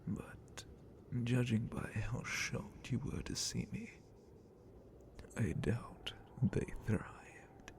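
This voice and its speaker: A man voicing an ancient, menacing, bored dragon captain in an ASMR roleplay, in a breathy, creaky whisper with a deliberate, condescending manner. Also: medium pitch. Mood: wistful musing.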